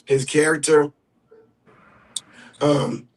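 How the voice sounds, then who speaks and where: A man speaking as a livestream guest, explaining carefully and haltingly, often clearing his throat. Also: on the high side, steady tone, slight background noise.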